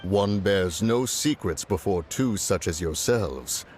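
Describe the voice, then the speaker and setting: Ancient deer spirit in a game: low, formal, pompous. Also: male voice, expressive, takes it slowly, darkish tone, slight background noise. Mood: lofty. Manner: pompous, archaic formal speech. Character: ancient deer spirit in male human guise, lofty and formal.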